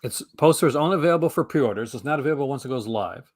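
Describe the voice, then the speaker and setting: Male young adult host, mid-pitched, chatty and rambling, hoarse from a long session. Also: lively intonation, racing. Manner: rambling, enthusiastic, many fillers and restarts. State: losing his voice. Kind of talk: casual live stream chat.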